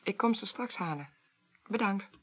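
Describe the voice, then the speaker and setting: An actress playing a calm, level-headed clerk to a chamber president in a Dutch radio drama. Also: female voice, medium pitch, normal speed, slightly rough. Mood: businesslike. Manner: composed, businesslike, reassuring.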